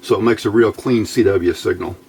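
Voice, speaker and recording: A man giving an unscripted hands-on technical tutorial in a matter-of-fact, halting, step-by-step way. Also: on the low side, a little mellow. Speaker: host of an electronics build tutorial. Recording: clear background noise, room ambience.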